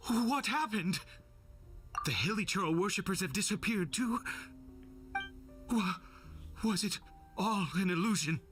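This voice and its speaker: An actor playing an unstable, doting father turned religious zealot in a video game, speaking in a theatrical, halting, reverent manner. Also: high voice, expressive, music in the background. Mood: shaken, fervent. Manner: theatrical, halting, reverent, prone to nervous laughs and sighs. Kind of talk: dramatic video game voice acting.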